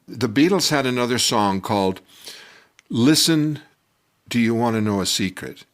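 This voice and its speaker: An older man telling a personal story from his youth in a conversational, halting and animated way. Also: medium pitch. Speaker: storyteller telling his own childhood memory. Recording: music bed under the voice. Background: music.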